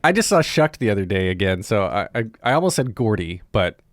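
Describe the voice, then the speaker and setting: Male podcast host, playful and casual, very animated pitch, dry studio-close sound. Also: a little fast, no room sound. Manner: playful, teasing. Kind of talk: podcast introduction and casual interview chat.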